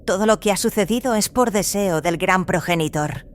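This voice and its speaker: A woman playing a serene, fervent religious zealot in an audio drama, speaking calmly and solemnly. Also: medium pitch, moderate pace, a little hiss or hum, lightly acted. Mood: serene, devout. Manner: calm, devout, solemn. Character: serene, fervent cloned female zealot from an isolated world. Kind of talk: dramatized audio fiction dialogue in Spanish.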